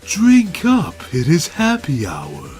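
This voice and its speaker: A man's voice: on the high side, huge pitch swings, slow pace, darkish tone, faint music behind, voice pushed up, heavily acted. A man voicing a cocky, quipping action-hero cat in a dramatic web reading, with smug, stilted one-liners. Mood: mocking.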